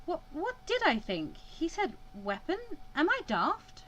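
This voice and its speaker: A woman's voice: sits high, wildly swooping pitch, measured pace, pushed and bright, slight background noise, voice pushed up, exaggerated voice. A woman voicing an anxious, easily panicked young student mediator in a visual novel, stammering and exclaiming. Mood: shocked disbelief.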